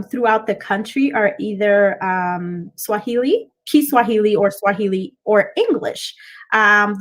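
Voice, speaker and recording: A woman from Kenya, a Kikuyu speaker, chatting as a guest and explaining at length with frequent ums. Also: fairly high pitch. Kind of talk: casual interview.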